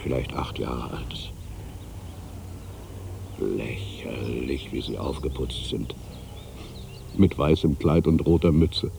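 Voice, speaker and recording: An actor playing an aging, weary writer in a literary monologue, brooding and wry, with a gravelly voice and deliberate, weighted pauses. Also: male voice, very deep voice, fairly even intonation, background noise, exaggerated voice. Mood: watchful, musing. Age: older.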